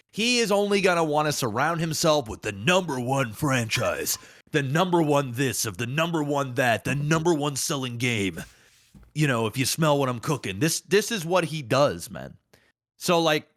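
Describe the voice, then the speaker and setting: A man's voice: a little high, fairly bright tone, dry recording, studio quality. A man hosting a casual podcast, talking in an opinionated, emphatic way with plenty of slang and swearing. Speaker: podcast host.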